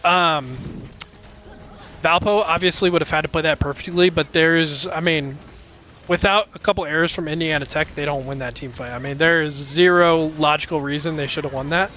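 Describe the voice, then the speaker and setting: A young man casting a live college esports match, calling plays quickly, with many fillers and sudden jumps in pitch at big plays. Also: bright, forceful tone, slight background noise. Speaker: esports caster. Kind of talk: live play-by-play commentary. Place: college esports broadcast booth.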